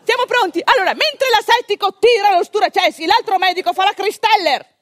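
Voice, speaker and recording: A very high-pitched woman, sardonic and exasperated, tells of giving birth in hospital as a theatrical radio monologue. Her delivery is wry and animated, recorded close and dry. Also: a little fast, no echo. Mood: tense, sardonic. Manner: animated, wry, foul-mouthed, breaking into shouts.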